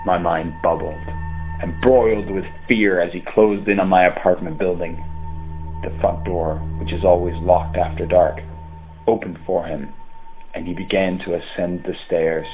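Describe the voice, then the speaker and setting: A man playing a haunted, dread-filled character who records a horror audio log in grave, deliberate, formal language, with a husky voice. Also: deep voice, narrow, tinny audio. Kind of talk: scripted first-person horror narration.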